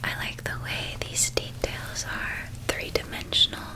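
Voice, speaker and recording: A woman playing a gentle, nurturing witch in a whispered ASMR roleplay, speaking in a soft, breathy, doting manner and lingering over details. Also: a little slow. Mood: gentle, caring. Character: gentle, nurturing witch helping a young student pack.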